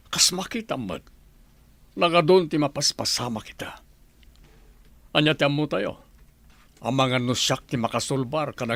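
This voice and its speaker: A man plays an older general and family father in a radio drama. His voice is medium in pitch and moves expressively up and down. He sounds earnest and paternal. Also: no echo. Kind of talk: radio drama acting in Ilocano.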